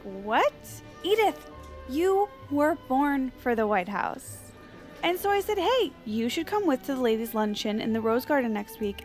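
A woman plays a chatty, oblivious socialite in an audio comedy. Her talk is girlish and gossipy, pitched on the high side, with faint music underneath. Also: a little mellow, lightly acted. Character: perky, dim, chatty female socialite storyteller. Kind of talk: comedic audio drama narration.

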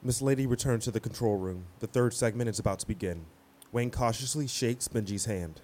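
A man's voice: medium pitch, brisk, darkish tone. A man reading scripted stage directions as the narrator of an audio play.

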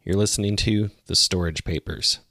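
Low, gravelly man narrating. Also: normal speed, music in the background. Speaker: podcast host and narrator. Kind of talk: scripted horror podcast narration.

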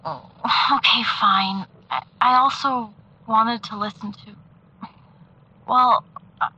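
An actress playing a sleepless, self-conscious woman in a naturalistic audio drama, talking haltingly. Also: fairly high pitch, bright, forceful tone, breathy edge, highs cut off, full character voice. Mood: uneasy, sheepish. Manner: hesitant, halting.

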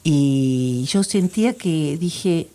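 A woman's voice: low-pitched, lively intonation, very slow, warm tone. A woman, a guest telling a personal story in an interview. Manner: reflective storytelling. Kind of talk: interview conversation.

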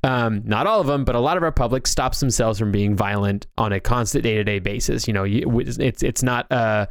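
A man's voice: mid-range voice, smooth, no room sound. A man talks in a loose, conversational way as a podcast co-host. He rambles and circles back to restart his sentences, and the sound is dry and close, with little room to it. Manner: rambling, halting, restarts thoughts often. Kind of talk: casual discussion of movies and games.

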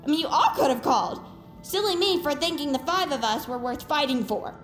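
A woman's voice: high-pitched, animated, fairly quick, fairly bright tone. A woman playing a fed-up teenage girl who speaks her mind earnestly and emotionally in an audio drama. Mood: hurt, earnest. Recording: roomy echo with music underneath.

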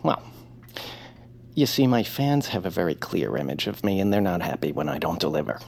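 A man's voice: sits low, animated, fairly quick, gravelly, a little mellow, a little hiss or hum. An actor playing a timid, self-doubting radio actor who is nothing like his heroic role, speaking meekly with wavering words. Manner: meek, self-deprecating, wavering. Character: timid male radio actor, gentle, fussy. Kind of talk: audio drama acting.

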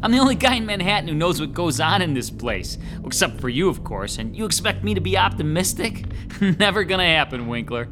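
A man's voice: on the high side, sing-song, fairly quick, over music. An actor playing a slangy, grumbling, middle-aged male New York lackey in an audio horror drama. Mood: griping.

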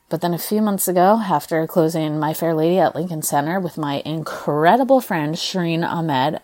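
A young American woman, a stage performer, reading her personal essay aloud in an expressive, conversational way. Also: low-pitched. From United States. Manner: expressive, conversational, acts out quoted dialogue.

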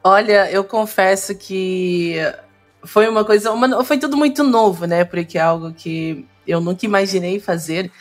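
A woman's voice: medium pitch, moderate pace, faint music behind. A woman from Minas Gerais with a Carioca accent, an esports caster speaking in an upbeat, laughing way in a casual video call interview. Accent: Carioca Portuguese. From Minas Gerais, Brazil.

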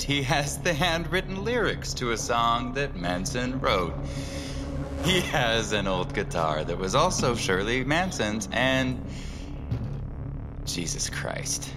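An actor playing a shaken man in an audio drama, haltingly muttering and swearing as he dictates what he observes. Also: on the low side, noisy background. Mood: wry, measured, then uneasy, appalled. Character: shaken man surveying a grisly secret collection. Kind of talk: audio fiction, dictated first-person observations.

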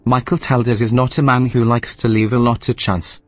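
Male actor as sardonic station AI, deadpan, precise, formal, composed, medium-pitched, music underneath, sci-fi audio drama. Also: a little fast, close to an everyday voice. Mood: matter-of-fact. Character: dry, snide male AI station supervisor, composed.

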